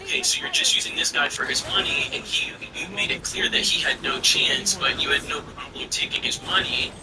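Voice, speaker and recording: A man's voice: medium pitch, expressive, a little fast, recorded from a distance, background noise. A man talking bluntly as the host of a personal finance interview show.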